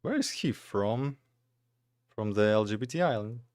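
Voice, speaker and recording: A man's voice: fairly low pitch, lively intonation, moderate pace, over loud music. A man from Russia, giving casual offhand reaction commentary on a live stream. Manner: offhand remarks, loose grammar.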